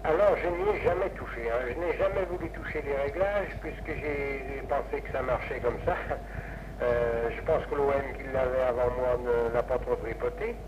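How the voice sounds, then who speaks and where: A muffled, noisy 1960s amateur radio recording of a Frenchman in a casual contact. His pitch sits in the middle range for a man. He rambles along, hesitating and repeating himself as he talks to a fellow operator. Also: moderate pace.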